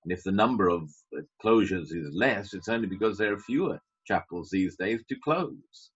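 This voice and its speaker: A man's voice: lowish voice, animated, normal speed, husky. A man preaching a sermon as a pastor, emphatic, with wry asides. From Britain. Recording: dull lo-fi sermon recording.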